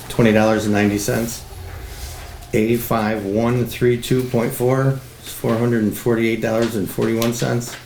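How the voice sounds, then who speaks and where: A man reading a formal highway superintendent's report aloud at a meeting. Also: darkish tone. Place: indoor public meeting room. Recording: echoey meeting room, faint noise.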